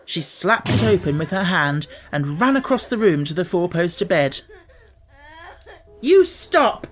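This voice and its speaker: A woman with a slightly low voice reads a children's book. Her delivery is scripted, expressive storytelling, as an audiobook narrator. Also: moderate pace, music in the background.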